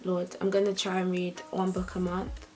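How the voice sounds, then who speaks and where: A woman's voice: lowish voice, normal speed, faint music behind. Chatty young woman vlogging. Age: young adult. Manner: chatty, lively and upbeat. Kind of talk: casual talk to camera.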